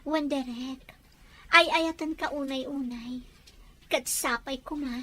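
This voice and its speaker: A woman's voice: high-pitched, takes it slowly, sharp tone, full character voice. A woman with an Ilocano accent playing an earnest, insistent young daughter in an audio drama. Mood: pleading, lovestruck. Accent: Ilocano, from the Philippines.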